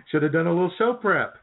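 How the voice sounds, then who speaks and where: A man's voice: high voice, creaky. A man co-hosting a radio show in casual, comedic chat, telling stories in a jokey, self-deprecating way. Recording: tinny phone-like sound.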